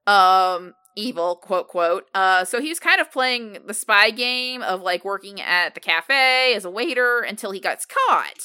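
Bright, smooth-voiced chatty woman. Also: mid-range voice. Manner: chatty, joking, full of fillers. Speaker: podcast co-host. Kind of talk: casual fan podcast chat.

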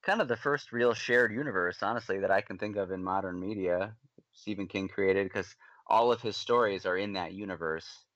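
Podcast guy ranting about movies, stammery, lowish voice, dull dry sound. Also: normal speed, a bit bright, no echo. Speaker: podcast co-host. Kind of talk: casual podcast chat.

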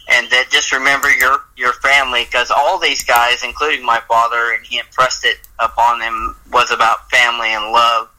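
Earnest man, bright voice on a phone line. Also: mid-range voice. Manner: hesitant, earnest, filler-heavy. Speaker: guest. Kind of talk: casual radio chat.

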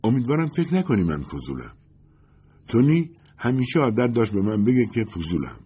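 A man's voice: medium pitch, big pitch swings, warm tone, husky, voice pushed up. A man voicing a talkative, glamorous woman who gushes and wants to be liked. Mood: chatty. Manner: gushing, eager to please.

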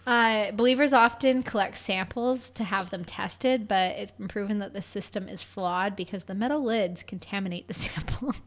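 A young woman co-hosting a podcast in casual chat, loud and projecting, with playful, sarcastic jokes. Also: medium pitch, normal speed, few pauses. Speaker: podcast co-host. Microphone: podcast studio mic.

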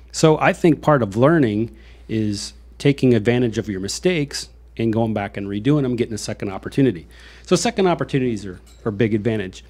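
A man's voice: medium pitch, darkish tone. A man hosting a leadership consulting podcast, chatting casually and introducing his guests. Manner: upbeat, conversational introductions. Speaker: podcast host. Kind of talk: casual interview.

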